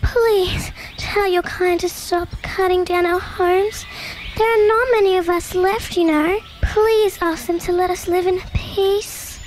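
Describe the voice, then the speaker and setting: A woman voicing a tiny, sad possum in a storybook reading, speaking quietly and pleadingly. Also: very high voice, steady tone, slow pace, slight background noise, pitched above the performer's own voice.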